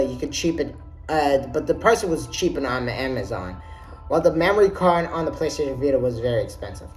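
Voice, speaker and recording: A man showing his handheld game collection, talking casually and enthusiastically, often restarting his sentences. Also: fairly high pitch. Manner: rambling, enthusiastic, frequent restarts. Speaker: gaming YouTuber. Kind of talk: casual menu walkthrough.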